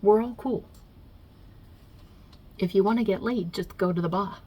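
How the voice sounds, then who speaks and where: A woman's voice: mid-range voice, unhurried, warm tone, breathy edge. A woman voicing God as a brash, short-tempered, non-binary Italian mob boss in an ASMR roleplay, with a put-on Italian-New York accent and a blunt, chummy, rambling delivery. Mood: matter-of-fact. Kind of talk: ASMR roleplay interview, improvised.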